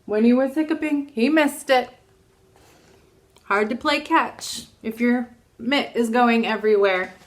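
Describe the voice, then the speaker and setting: A woman reading a children's storybook aloud at storytime, expressive and often putting questions to her young listeners. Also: fairly high pitch, measured pace, a little hiss or hum.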